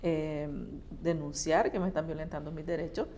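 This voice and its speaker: Female interview host, somewhat low voice, attentive questioning, faint noise in background. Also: fairly even intonation, normal speed, warm tone. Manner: attentive, asks questions with frequent short acknowledgments. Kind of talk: conversational interview.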